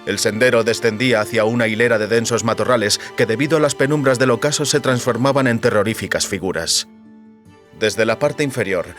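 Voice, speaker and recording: A man's voice: on the low side, fairly bright tone. A man narrating a literary audiobook in a dramatic, scripted storytelling style. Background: music.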